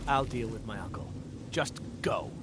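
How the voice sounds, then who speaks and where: A man's voice: medium pitch, normal speed, lightly acted. Man acting a stern, commanding fantasy guard, noisy capture. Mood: firm, commanding. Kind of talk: video game voice acting. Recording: noisy game audio capture.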